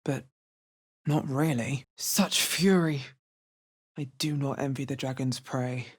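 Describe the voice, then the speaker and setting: Deep-voiced female adventurer, husky, wary, terse, low-key, video game hero lines. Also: fairly even intonation, slow, heavily acted. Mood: grim, measured. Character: wary, hard-bitten young woman adventurer in a fantasy world.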